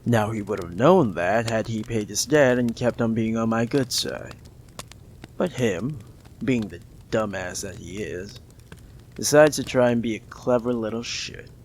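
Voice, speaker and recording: A man voicing a possessive, menacing mob boss in an audio roleplay, gravelly and slow, coldly affectionate and often whispering. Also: lowish voice, a little hiss or hum.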